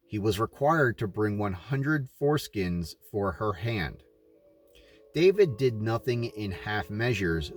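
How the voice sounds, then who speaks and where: A man from the United States reading a religious audiobook in a somewhat halting manner. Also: fairly low pitch, a little mellow. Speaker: audiobook reader. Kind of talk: read religious narration.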